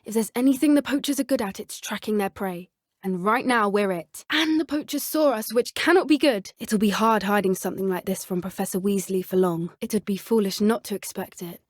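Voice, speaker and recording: A woman's voice: medium pitch, brisk, no room sound, studio quality, lightly acted. A woman voicing an earnest, plucky teenage witch in a video game, with a British accent and a polite, slightly old-fashioned way of talking. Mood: earnest, thoughtful. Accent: British English. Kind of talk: scripted game character dialogue.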